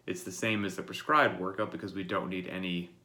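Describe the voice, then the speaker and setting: A man's voice: low-pitched, fairly even intonation, brisk, bright, forceful tone. A man coaching and explaining the day's workout to his members while congested from a cold. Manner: plain, explanatory, talks straight to the listener. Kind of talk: daily workout briefing.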